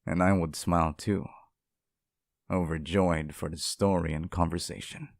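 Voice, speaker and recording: Deep, creaky, lonely demon played by a man. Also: steady tone, unhurried, dry recording. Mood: eager, devoted. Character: lonely sealed male demon, sweet, clingy, possessively devoted. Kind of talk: ASMR roleplay, intimate scripted monologue to the listener.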